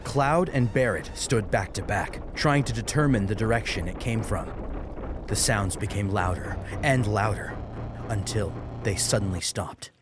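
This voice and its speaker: A man's voice: fairly low pitch, a little slow, background noise. A man narrating a scripted story dramatically as an audiobook reader, with music behind him.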